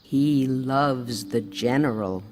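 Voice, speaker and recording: Woman playing a low-voiced, scheming storybook queen mother, American. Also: slow, darkish tone. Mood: scheming, instructing. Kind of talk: storybook dialogue.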